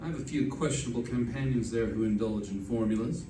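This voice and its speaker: A man's voice: mid-range voice, fast, mellow, close to an everyday voice. A man playing a pompous, tipsy photographer sidekick in an old-time radio drama, talking in a flowery, arch, theatrical way. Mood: dry, affected. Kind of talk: old-time radio comedy-mystery acting. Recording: echoey room, distant, background noise.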